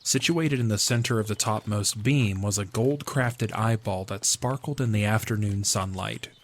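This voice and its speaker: A man's voice: on the low side, moderate pace, slight background noise. A man reading scripted story narration as the narrator of an audio drama.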